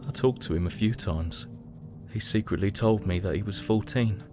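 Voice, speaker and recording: Man acting a low-voiced, haunted young British soldier. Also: normal speed, lightly acted. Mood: somber, weary. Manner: somber, reflective, dramatic. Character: young, weary British soldier in 1917 trenches. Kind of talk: first-person audio drama diary narration. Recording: music behind the voice. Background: dramatic music.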